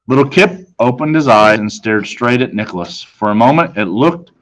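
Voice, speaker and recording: A man narrating a children's novel as a reader, in a measured, suspenseful storytelling manner. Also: medium pitch, expressive, a bit bright, poor audio.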